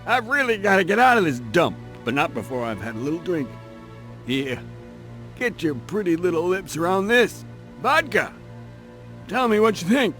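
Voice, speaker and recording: Game role: boozy ex-military rocket man, high-pitched, very animated, slurred and leering, music behind him. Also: moderate pace. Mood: boozy, jovial. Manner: slurred, theatrical, exclamatory. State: drunk. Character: drunken, boisterous ex-military space pilot, leering and rambling. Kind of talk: video game voice acting.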